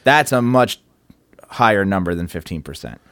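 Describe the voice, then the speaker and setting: A man's voice: normal speed, clean tone, no room sound, clean studio sound. A man co-hosting a casual podcast, asking questions and pushing back with skeptical curiosity. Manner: questioning, skeptical, lively pitch swings. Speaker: podcast co-host.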